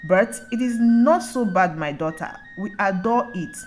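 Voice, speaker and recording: Motherly old village elder woman, swooping pitch, voiced by a woman. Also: animated, a little slow, soft background music, lightly acted. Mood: gently dismissive. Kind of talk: folktale storytelling.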